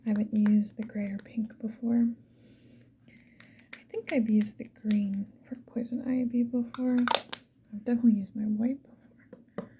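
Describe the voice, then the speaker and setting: A woman doing casual ASMR, whispering softly and musing to the viewer in an unhurried get-ready-with-me chat. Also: fairly high pitch, flat delivery. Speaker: ASMR video creator. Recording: muffled lo-fi with background hiss.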